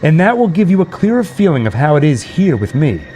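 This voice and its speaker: A man playing a nervous, rambling man alone in a haunted house in a horror radio play, halting and talking straight to his listeners. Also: a little high, very animated, mellow, slight background noise. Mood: uneasy, insistent. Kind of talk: old-time horror radio play monologue.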